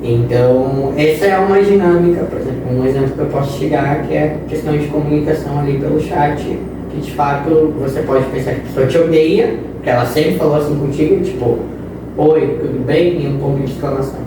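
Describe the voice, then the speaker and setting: A man's voice: on the high side, brisk. Young man explaining, echoey distant sound. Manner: explains with examples and quoted phrases. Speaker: guest. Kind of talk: casual interview talk. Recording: echoey room, distant mic, noisy.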